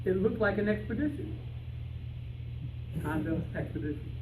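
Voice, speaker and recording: A man playing an excitable, argumentative, stubborn detective in an old-time radio style stage play. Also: high-pitched, moderate pace. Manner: indignant, argumentative. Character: excitable stubborn male detective searching for his lost canary. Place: live rehearsal before an audience. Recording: echoey room, distant mic, faint noise.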